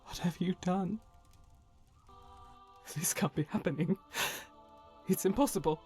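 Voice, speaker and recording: A woman's voice: on the low side, measured pace, warm tone, close to an everyday voice. A woman playing a fierce, zealous female warrior companion, intense and devout, in a dramatic video game performance. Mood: anguished pleading. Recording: music behind the voice.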